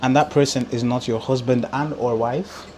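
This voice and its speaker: A man who grew up speaking Igbo, hosting a casual podcast chat and talking in loose, animated runs full of "like" and repeated words. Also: mid-range voice, moderate pace, a little hiss or hum. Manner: animated, talks with filler likes and repeats words. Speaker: podcast host.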